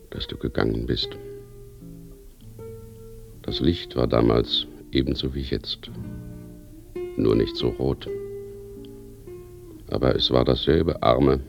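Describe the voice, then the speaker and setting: Gravelly, extremely deep, grieving man. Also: heavily acted. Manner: slow, melancholy, reflective. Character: ageing, brooding man mourning a lost beloved. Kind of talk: radio play monologue. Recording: muffled radio drama with guitar behind.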